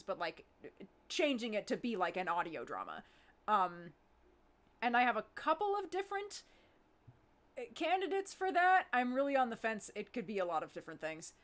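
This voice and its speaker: A woman talking informally in a live Q&A as a show's creator, thinking aloud and pausing as she goes. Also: medium pitch, fairly bright tone. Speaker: audio drama writer and producer. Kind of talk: casual chat in a live Q&A.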